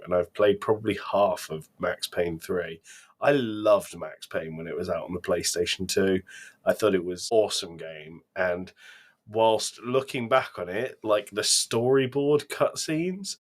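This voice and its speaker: Man, lowish pitch, podcast co-host, casual chat, enthusiastic, emphatic delivery. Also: a little slow.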